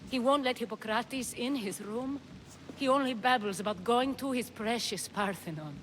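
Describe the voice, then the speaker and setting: A woman playing an elegant, worried, commanding Athenian noblewoman in a video game, measured and grave. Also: a little high, a little hiss or hum, close to an everyday voice. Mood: worried, urgent. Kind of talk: video game cutscene acting.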